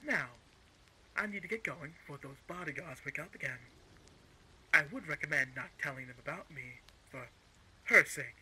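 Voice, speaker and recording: Male actor as coercive villain, very sharp forceful tone, slightly high pitch, condescending, deliberate, audio roleplay, background noise. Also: animated, poor audio. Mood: smug, condescending. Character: smug, menacing male crime-organization villain, coolly coercive.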